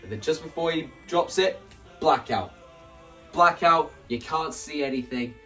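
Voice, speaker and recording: Young male creator, lighter-pitched voice, chatty and animated explainer delivery, recorded a little away from the mic. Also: measured pace, distant mic, soft background music. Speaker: video creator presenting a stage play concept. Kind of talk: casual explanatory monologue.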